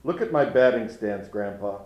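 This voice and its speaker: A man's voice: on the low side, animated, normal speed, darkish tone, lo-fi sound, close to an everyday voice. A man voicing an eager young male grandson in a play reading. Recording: roomy echo.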